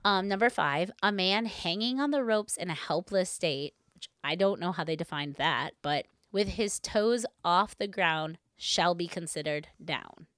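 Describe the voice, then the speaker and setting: Female podcast co-host, lower register, clean smooth tone, chatty and playful storytelling. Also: a little slow, a bit bright, no room sound, studio quality. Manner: informal, heavy use of "like", playful asides. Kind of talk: casual chat, retelling a story with asides.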